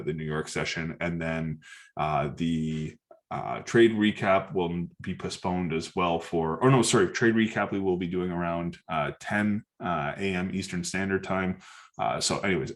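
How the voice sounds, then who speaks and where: Deep-voiced man explaining trading charts. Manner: loose, profane, many fillers and restarts. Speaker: trading educator. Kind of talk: casual screen-share market breakdown.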